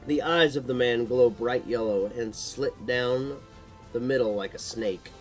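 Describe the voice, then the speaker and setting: A man's voice: medium pitch, unhurried, faint music behind, poor audio. A man narrating a supernatural horror story in a dramatic read-aloud style, sometimes stumbling over the text.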